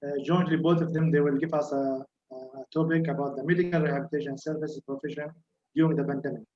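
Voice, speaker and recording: A man moderating a webinar, polite and formal, introducing speakers with pauses and fillers. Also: fairly high pitch, fairly even intonation, mellow, muffled recording.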